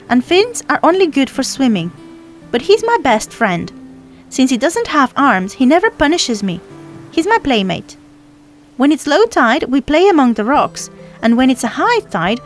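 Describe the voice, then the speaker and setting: A woman's voice: sits high, very animated, moderate pace, soft background music. A woman voicing a tiny, proud and affectionate girl from the sea in a storybook, chatty and childlike. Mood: eager, proud. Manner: chatty, childlike, matter-of-fact boasting. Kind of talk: storybook character voice.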